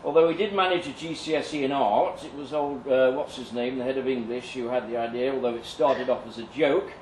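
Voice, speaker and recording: A man's voice: mid-range voice, a little fast, lightly acted. A man playing a dry, rambling headmaster, hesitant and forgetful, recorded at a live reading. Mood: dry, musing. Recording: distant echoey live venue, background noise.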